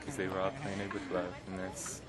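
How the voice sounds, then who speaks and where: A young American man from New York in his twenties giving a measured, pausing testimonial outdoors to a camera. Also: sits low, completely flat delivery. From New York, United States. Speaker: event participant. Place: outdoors by a thatched hut. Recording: outdoor recording with loud background chatter. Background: crowd noise.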